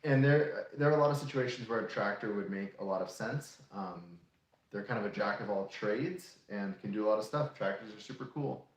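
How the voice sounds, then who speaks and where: Relaxed young man in an echoey room. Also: on the low side, fairly quick. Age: 20s. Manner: relaxed, practical, explains at length. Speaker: co-host of a homesteading channel. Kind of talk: casual live Q&A chat. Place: room in an off-grid shop.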